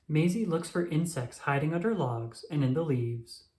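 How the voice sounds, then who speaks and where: A woman reading a children's storybook aloud with expressive, animated storytelling and emphatic exclamations. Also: sits low, normal speed. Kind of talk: scripted read-aloud narration for young children. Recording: roomy, echoing sound.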